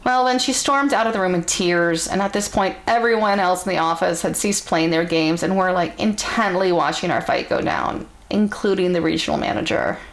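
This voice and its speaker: A woman reading a story aloud in a casual, conversational way, theatrical and amused, with pauses and murmured asides. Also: lowish voice, creaky.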